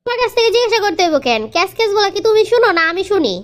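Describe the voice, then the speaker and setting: A woman's voice: very high voice, very animated, racing. A woman voicing a stubborn young wife in a cartoon family comedy, complaining and repetitive, with a Bangladeshi Bengali accent. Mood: defiant, irritated. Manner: complaining, repeats words. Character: quarrelsome, stubborn young wife and sister-in-law.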